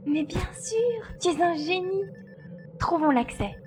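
A woman's voice: very high-pitched, lively intonation, unhurried, over music. A woman acting a determined, analytical young female pilot in an audio drama. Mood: excited, decisive. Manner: decisive, reasoning aloud.

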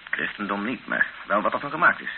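A man's voice: on the low side, big pitch swings, fast talker. An actor playing a bitter, skeptical man held hostage in a Dutch-language radio drama, formal and challenging. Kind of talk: radio drama acting and first-person narration. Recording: hissy, narrow-band old radio recording.

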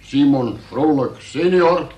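An actor playing a dutiful police sergeant who formally and haltingly reads out a report in a radio play. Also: male voice, mid-range voice, animated, dark tone. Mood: reciting. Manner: formal, officious, halting delivery of official report. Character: dutiful police sergeant reading out a suspect's confession. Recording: roomy, echoing radio play recording.

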